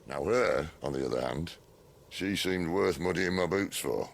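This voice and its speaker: Cid, a gravelly outlaw. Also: male voice, sits low, heavily acted. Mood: wry. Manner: dry, wry and unhurried. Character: worldly gruff male outlaw leader, middle-aged. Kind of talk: video game cutscene acting. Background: orchestral game score.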